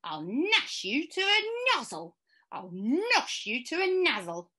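Woman acting enraged storybook hag, loud, menacing, high and sharp. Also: huge pitch swings, takes it slowly, heavily acted. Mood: furious. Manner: menacing, loud, emphatic nonsense threats. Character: furious, shrieking old hag, Mrs Twit.

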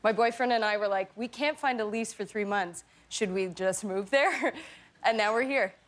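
A woman's voice: medium pitch, normal speed. A Canadian woman in her early thirties, a guest on a talk show, chatting in a playful, giggly, self-deprecating way. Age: 30s. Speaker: guest actor. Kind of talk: talk show interview chat. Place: TV studio set. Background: studio audience.

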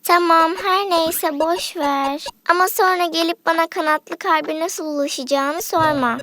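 A woman's voice: extremely high pitch, measured pace, pushed and bright, no echo, clean studio sound, heavily acted. A woman voicing a self-assured, teasing little girl cartoon doll in a dub. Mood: cocky. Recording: cartoon music underneath.

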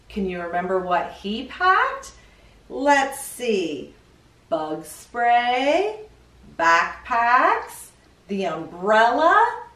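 A middle-aged woman hosting a children's read-aloud, expressive and questioning, stretching out key words for young listeners. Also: mid-range voice. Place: indoor studio with green screen, seated. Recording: roomy echo, distant microphone.

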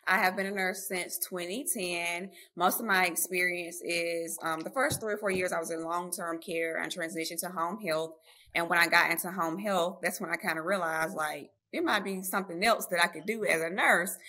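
A woman's voice: on the low side, bright, forceful tone. A woman, chatting about her nursing career as an interview guest over a video call. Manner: friendly, talkative, addresses listeners as y'all. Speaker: guest nurse writer. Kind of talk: casual interview storytelling. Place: home room, video call. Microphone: webcam or laptop mic on video call.

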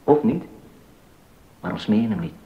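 An actor playing a shrewd, knowing confidant in a docudrama, giving advice in a matter-of-fact way. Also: male voice, normal speed, lightly acted. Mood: knowing, urgent. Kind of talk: Dutch docudrama acting. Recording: dull sound with faint rumbling noise.

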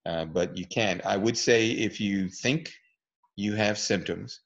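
A man with a very low voice explains things to an online group in a relaxed way, stopping often for ums and uhs. His audio comes through a muffled video call. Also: measured pace. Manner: relaxed, explanatory, with many fillers. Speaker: presenter, physician. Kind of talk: online talk and Q&A.

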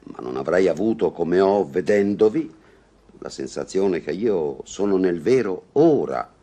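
Flustered lovestruck gentleman, highly animated. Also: measured pace, slightly rough, exaggerated voice. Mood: earnest. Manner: theatrical, hesitant declarations. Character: flustered egoistic gentleman, newly lovestruck, awkward and earnest. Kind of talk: vintage Italian radio comedy acting.

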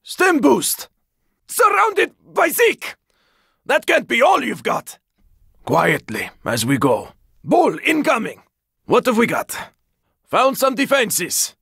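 A man voicing a gritty, battle-weary survivor fighter in a zombie video game, with a raspy, gravelly voice and forceful short callouts. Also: extremely high pitch, no room sound, studio quality, heavily acted. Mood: weary, resigned. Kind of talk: video game combat barks.

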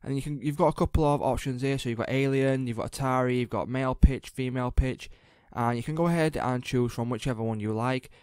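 A man presenting a casual, step-by-step software tutorial in informal, repetitive instructional phrasing. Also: mid-range voice, fast talker, hardly any pauses, clear, resonant tone, no room sound.

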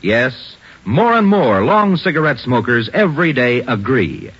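A man's voice: medium pitch, very animated, unhurried, fairly bright tone, breathy edge. A man reading an old radio cigarette commercial in a punchy, emphatic announcer style, on a dull old radio recording. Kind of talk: 1950s radio commercial read.

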